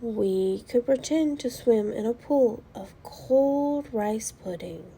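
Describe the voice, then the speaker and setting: A woman voicing playful young boys in a children's storybook, with expressive, simple phrasing. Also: on the high side, slow, vocal fry, slightly rough, heavily acted, poor audio. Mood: eager, imaginative. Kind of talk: storybook dialogue read aloud.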